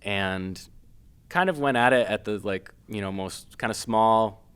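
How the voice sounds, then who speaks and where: A man from Massachusetts, a filmmaker guest, talking casually with many pauses, fillers and restarts. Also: on the low side, fairly bright tone, clear, resonant tone. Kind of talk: casual interview.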